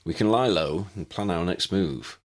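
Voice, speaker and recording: A man's voice: low-pitched, normal speed, lightly acted. A man voicing an earnest young wizard hero in an audiobook story, direct, alert and concerned. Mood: resolute.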